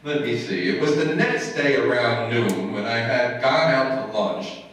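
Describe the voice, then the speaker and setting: A man's voice: medium pitch, unhurried, fairly bright tone, natural voice. A man playing a long-suffering, sarcastic husband who narrates an old-time radio comedy on stage. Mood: dry narrating. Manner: dry, sarcastic. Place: live stage in an indoor hall, distant camera. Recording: echoey noisy hall, far from microphone.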